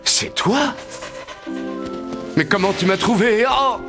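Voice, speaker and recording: Young soldier, cheerful, naive, animated high voice, exclamatory, cartoon fairy tale, music behind. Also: male voice, slow, full character voice. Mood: amazed, delighted. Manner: expressive, exclamatory, talking to himself. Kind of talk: French-language animated fairy-tale dub.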